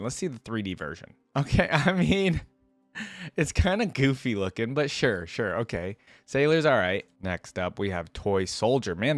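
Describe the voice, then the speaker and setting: A man's voice: mid-range voice, no room sound. A man hosting a reaction video, giving casual off-the-cuff commentary in colloquial language as he thinks aloud.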